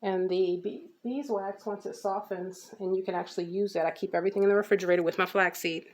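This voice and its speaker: A woman's voice: mid-range voice, fairly even intonation, quick pace. An American woman giving a casual, chatty hair care update, talking informally and rambling with some repetition. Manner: informal, rambling, repeats herself. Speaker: natural hair care vlogger.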